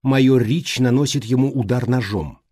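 A man's voice: mid-range voice, moderate pace, warm tone, husky, dry recording, studio quality, natural voice. A man voicing a reserved, literal-minded female secretary in an audiobook, speaking in a hesitant, matter-of-fact way. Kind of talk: audiobook character dialogue.

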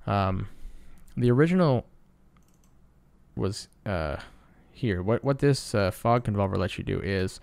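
A man presenting a casual sound design tutorial in a low-key, explanatory way. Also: animated, measured pace, vocal fry, darkish tone, smooth, no echo. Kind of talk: casual screen-recorded tutorial narration.

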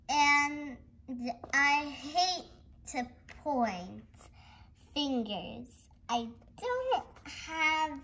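A young girl reading a picture book aloud haltingly, with giggly, exclaiming casual asides. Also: high voice, sharp tone. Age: child. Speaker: host of a read-along video.

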